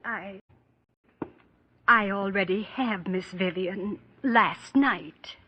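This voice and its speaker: An actress playing a devoted, inquisitive housemaid in old-time radio drama, chatty and deferential, on a muffled recording. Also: female voice, mid-range voice, drawn-out words, husky. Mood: hesitant.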